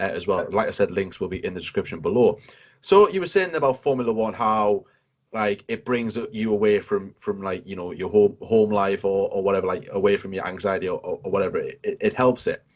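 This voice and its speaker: Man in his twenties, low-voiced interview host, rambling casual delivery, muffled lo-fi sound. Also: a little mellow. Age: 20s. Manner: chatty, stacks filler words and repeats himself. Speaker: show host interviewing a guest.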